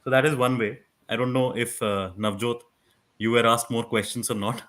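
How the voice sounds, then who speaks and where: A man with an Indian accent and a loud voice, explaining things conversationally as a guest expert, often restarting his phrases. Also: medium pitch, steady tone, moderate pace, slight background noise. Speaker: guest expert on moot court. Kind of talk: conversational advice in an online workshop.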